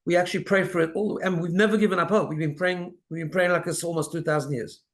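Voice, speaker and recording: Higher-voiced man explaining religious ideas. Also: steady tone, fast, a bit bright. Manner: explanatory, step-by-step. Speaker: rabbi, co-host of a religious discussion show. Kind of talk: conversational religious teaching.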